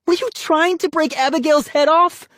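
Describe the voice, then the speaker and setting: A woman voicing a bratty little sister in a children's scary audiobook, angry and accusing, wailing and shouting. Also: high-pitched, moderate pace, dry recording, studio quality, voice pushed up. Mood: outraged wailing.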